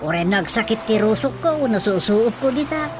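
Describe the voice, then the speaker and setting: A woman's voice: fairly high pitch, expressive, normal speed, over music, close to an everyday voice. Woman acting chatty superstitious Ilocano village mother. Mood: earnest storytelling. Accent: Filipino, Ilocano. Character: chatty superstitious village mother who believes in folk healing. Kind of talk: radio drama acting.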